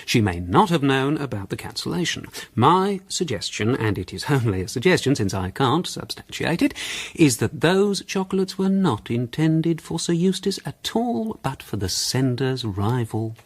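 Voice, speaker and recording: British man, period radio mystery: self-assured amateur detective, slightly husky, lively intonation, fussy precision with hesitations. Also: mid-range voice, normal speed. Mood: discreet, insistent. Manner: hesitant, pedantic, fussily precise. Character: self-assured, discursive male amateur sleuth presenting his theory.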